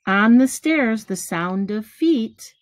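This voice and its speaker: A middle-aged woman reading a children's picture book aloud, deliberately, pausing between phrases. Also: a little high, animated, no room sound. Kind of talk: children's storybook read-aloud. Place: small room at home, indoors. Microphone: webcam or device at arm's length.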